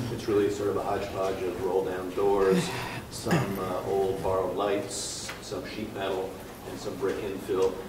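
A man with a low, flat voice walks a hearing through his building design, stumbling over fillers and restarting sentences. He is picked up by a distant microphone in a large meeting room. The sound carries a roomy echo and steady background noise. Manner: halting, many fillers and restarts. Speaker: architect presenting a project. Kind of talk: public hearing presentation. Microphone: far room mic.

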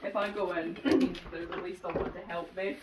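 A woman's voice: fairly low pitch, fairly even intonation, brisk. A woman chatting casually while exploring, picked up by a camera microphone. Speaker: urban explorer. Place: derelict building interior. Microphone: camera microphone at a distance. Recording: echoey, very noisy, distant microphone.

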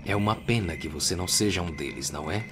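A man with a Brazilian accent voicing a sly, condescending agent in a measured, mocking way for an anime fan dub. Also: low-pitched, over music, pitched below the performer's own voice. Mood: calm, condescending.

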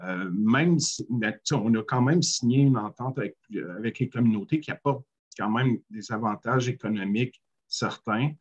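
A man in his forties with a Quebec French accent, speaking slowly on a video call panel with many pauses. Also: medium pitch, breathy edge, muffled recording. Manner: measured, many hesitant "euh" pauses. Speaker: First Nation panelist. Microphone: webcam on a video call.

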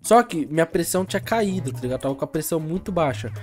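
A young man hosting a casual chat, telling a rambling story in fast slang while fighting off a cold. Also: on the high side, animated, a little mellow, faint music behind. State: has a cold. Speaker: channel host.

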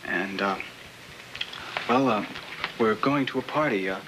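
A man's voice: lowish voice, background noise, close to an everyday voice. A young man playing a reserved, evasive teenage son in a 1950s film, speaking hesitantly. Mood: awkward, evasive. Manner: hesitant, halting. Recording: old film soundtrack with loud music.

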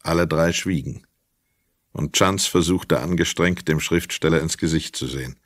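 A man's voice: very deep voice, normal speed, dry recording, clean studio sound. A man narrating a crime radio play in a scripted, literary storytelling style. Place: dry studio.

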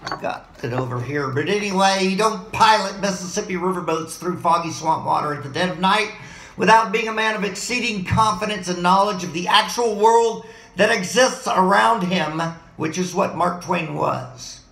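A middle-aged man who is hoarse and losing his voice, rambling excitedly from topic to topic in a home video, talking into a desk mic on a boom arm in his living room. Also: moderate pace. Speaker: vlogger. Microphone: desk mic on a boom arm, webcam framing. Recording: roomy home echo.

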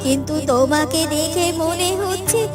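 Slow, high-voiced wise magical being. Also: female voice. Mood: reassuring. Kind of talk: cartoon dubbing. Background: music.

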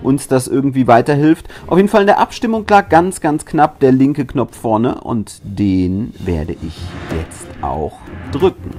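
A man's voice: mid-range voice, expressive, a little slow, few pauses, darkish tone. Male host, theatrical story reader, very varied intonation, prominent music underneath. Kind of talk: dramatic storytelling for an interactive story.

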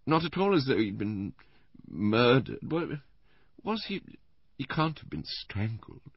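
A man's voice: medium pitch, sing-song, measured pace, dry recording, voice pushed up, exaggerated voice. A man voicing a middle-aged invalid wife in an audiobook, plaintive, hesitant and self-dramatising, with a faint whining voice. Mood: morbidly fascinated. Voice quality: faint, whining, breathy sighing. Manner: hesitant, fussy, martyred. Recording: slightly dull, lo-fi dry recording.

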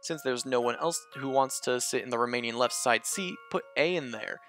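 Excitable man narrating a puzzle game. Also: medium pitch, moderate pace. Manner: excitable, gushing, reads hints aloud. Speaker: let's-play host. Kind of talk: puzzle game walkthrough commentary. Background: game music.

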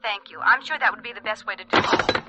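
An actress playing an unflappable, officious telephone operator in a radio drama, formal and clipped. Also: female voice, mid-range voice, fast talker, very noisy, natural voice. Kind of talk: 1940s radio drama acting.

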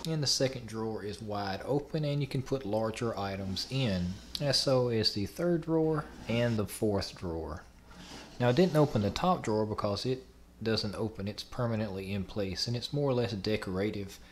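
A man in his twenties hosting a review channel, calmly explaining step by step how to put furniture together. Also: fairly low pitch, normal speed, a little hiss or hum. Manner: plain, matter-of-fact explaining. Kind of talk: instructional product assembly voice-over.

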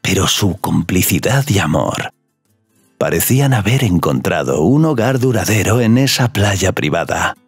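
A man's voice: low voice, big pitch swings, a little slow, husky, dry recording. A man narrating a scripted romantic audio story as a storyteller. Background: music.

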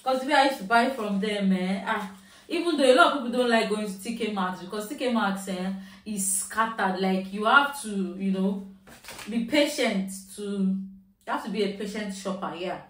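A woman with a Yoruba-tinged Nigerian accent talks through a shopping haul at home, chatty and easy, dropping into Pidgin now and then. Her voice sits at a middle pitch. She is a little away from the mic in a roomy space. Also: moderate pace, fairly bright tone, recorded from a distance. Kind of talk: casual chat to camera. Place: at home, indoors.

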